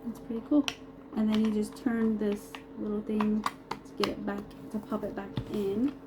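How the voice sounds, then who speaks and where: A woman's voice: medium pitch, monotone, moderate pace. A woman giving a casual unboxing review, talking as a product reviewer in a noisy home recording. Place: upstairs room in a brick house.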